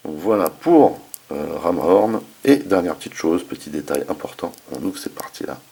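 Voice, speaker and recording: A man's voice: deep voice, very animated, normal speed, vocal fry, mellow, slightly rough. A man hosting a casual hands-on toy review, talking informally with slangy enthusiasm. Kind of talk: casual hands-on product demonstration.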